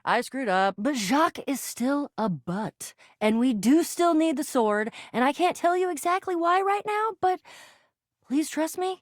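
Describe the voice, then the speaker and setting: A plucky game adventurer, high-voiced and swooping all over the scale. The delivery is earnest and excitable, grand heroic declarations tangled up with slightly insecure, stumbling asides. The sound is dry and close. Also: female voice, dry recording. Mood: sheepish, flustered, then determined, hopeful. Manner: dramatic quest-speak mixed with stammering asides. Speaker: video game voice actor. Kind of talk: animated video game character acting.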